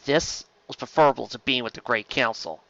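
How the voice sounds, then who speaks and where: Male narrator for dramatic sci-fi fiction: bright, intense delivery, mid-pitched, slightly dull recording. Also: brisk, vocal fry. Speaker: story reader.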